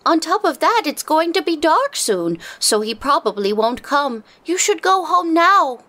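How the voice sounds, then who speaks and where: Woman as high, lively, concerned townswoman. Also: unhurried, full character voice. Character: concerned, practical female townsperson urging an old man home. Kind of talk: animated fairy tale voice acting. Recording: music under the voice.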